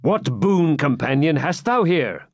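A man's voice: a little high, sing-song, a little slow, dry recording, clean studio sound, pitched above the performer's own voice, exaggerated voice. A man voicing a forthright outlaw yeoman in an audiobook, speaking firm, urgent, old-fashioned English. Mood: brisk reproach. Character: forthright, commanding male outlaw yeoman woodsman. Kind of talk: audiobook character voice.

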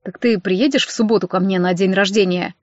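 A courteous young man in an audiobook, played by a woman, speaking in a cool, measured way. He is reserved and protective, and the recording is dry and slightly dull. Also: steady tone, dry recording, lightly acted. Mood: restrained, concerned. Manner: low-key, cool, measured.

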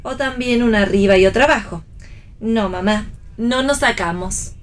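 An actress playing a guarded, wry young pregnant wife in a radio play, with an Argentine accent and a curt, dry manner. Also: female voice, fairly high pitch, normal speed, natural voice. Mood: curt, deflecting. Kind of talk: radio theatre play reading.

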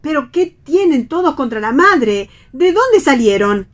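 A high-voiced older mother in a radio play, fussy and possessive. She pleads in a motherly way and takes offence easily. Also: big pitch swings, unhurried. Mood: indignant. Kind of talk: read radio theatre.

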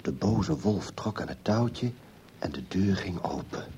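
Deep guy narrator telling a fairytale, scripted, noisy recording. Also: animated, measured pace. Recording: clearly noisy background with clicks.

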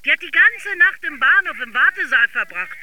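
An actress playing a brisk, exasperated young caller with a flippant, emphatic manner in a radio drama. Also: female voice, high voice, sing-song, sharp tone, muffled recording, a little hiss or hum, heavily acted.

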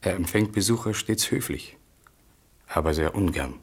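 An actor in a German radio play playing a sober, detached observer who reports in measured, clipped phrases. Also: male voice, deep voice, animated, slightly rough, no echo, exaggerated voice. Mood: hushed, detached.

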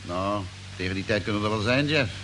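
An actor playing a gruff, down-to-earth crewman on a Mars expedition in a vintage radio drama. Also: on the low side, fast, a bit bright, close to an everyday voice. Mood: sober. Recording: noisy old radio play recording.

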